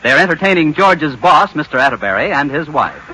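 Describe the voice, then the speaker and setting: A man's voice: on the high side, big pitch swings, fairly quick, pushed and bright, slight background noise, low-quality recording. A man playing a genial storyteller, narrating in 1940s radio announcer style on an old recording.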